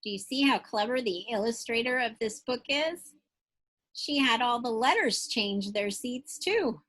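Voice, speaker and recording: A woman's voice: on the high side, expressive, measured pace, fairly bright tone. A woman teacher reading a picture book aloud to young children, stretching out phrases expressively and putting questions straight to her listeners.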